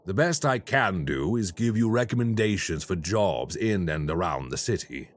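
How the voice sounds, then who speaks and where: A calm, formal crime boss in an audio roleplay. His voice sits very low and he keeps every word polite and measured, all business. The recording is clean. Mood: courteous.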